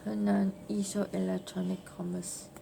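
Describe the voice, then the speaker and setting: Very gravelly Singaporean woman, halting. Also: mid-range voice, little pitch movement. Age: late 40s. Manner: halting, with trailing "ah" particles. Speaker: vlogger. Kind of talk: casual unboxing commentary. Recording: noisy amateur home recording.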